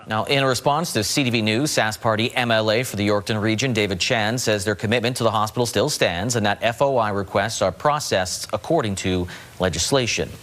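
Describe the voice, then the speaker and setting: A man's voice: fairly low pitch, brisk, fairly bright tone. A man anchoring a local evening newscast, reading stories and market prices. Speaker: news anchor.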